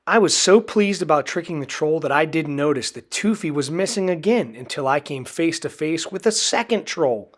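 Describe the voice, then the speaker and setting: A man reading a children's storybook aloud in an expressive, dramatic way. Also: fairly high pitch, normal speed, hardly any pauses. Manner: expressive, dramatic delivery with acted character voices. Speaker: storybook reader.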